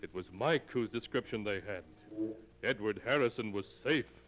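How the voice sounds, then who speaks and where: An actor playing the solemn, dramatic narrator of a 1940s radio terror tale. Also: male voice, on the low side, moderate pace, fairly bright tone, close to an everyday voice. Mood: suspenseful, solemn. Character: ominous male storyteller of a terror anthology. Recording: noisy 1940s radio recording.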